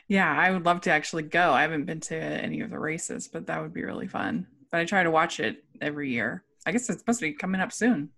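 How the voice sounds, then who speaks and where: A woman's voice: sits low, brisk, creaky, a bit bright. A middle-aged woman hosting a podcast, chatty and laughing often, with many fillers. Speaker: podcast host. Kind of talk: casual chat.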